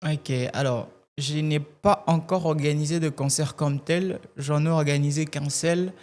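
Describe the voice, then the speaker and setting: A man from Kasai-Oriental with Tshiluba as his first language, a gospel singer answering interview questions in a measured way and often repeating words as he looks for them. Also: a little high, steady tone, darkish tone. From Kasai-Oriental, DR Congo. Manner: measured, repeats words while searching for phrasing.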